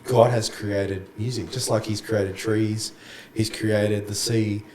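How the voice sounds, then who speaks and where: A man who has lost his voice and sounds croaky, chatting casually as a guest worship pastor, calling people mate and bro. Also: fairly low pitch, flat delivery, slow, darkish tone. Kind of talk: casual podcast conversation. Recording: echoey room, faint background noise.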